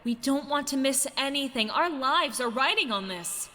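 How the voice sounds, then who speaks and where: A high, sharp-edged young student leader, acted by a woman in an animated mystery. Bossy and impatient, this character hands out orders in curt, clipped phrases. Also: moderate pace. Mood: serious, focused. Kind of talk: dramatic animated mystery voice acting.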